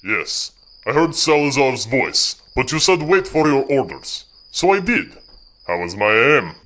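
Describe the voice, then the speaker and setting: Obedient male team marksman man acting for audio drama. Eager, literal, apologetic, very lively intonation. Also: medium pitch, unhurried.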